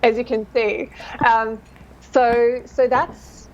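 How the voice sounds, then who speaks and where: A woman, a dialect coach talking through her work in a relaxed interview, thoughtful and animated with dry self-deprecating humour. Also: a little high, takes it slowly, muffled recording, a little hiss or hum. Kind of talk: casual interview chat.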